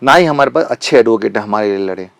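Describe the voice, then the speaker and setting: A man's voice: fairly low pitch, expressive, quick pace, gravelly, no echo. A man speaking as an advocate in an interview, insistent and repeating phrases for stress. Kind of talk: impassioned opinion interview.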